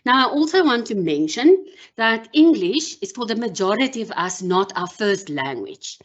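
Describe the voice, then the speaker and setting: A woman whose first language is Afrikaans gives a measured online workshop as a university lecturer, with frequent pauses and ums. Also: mid-range voice, big pitch swings, lo-fi sound. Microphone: video call.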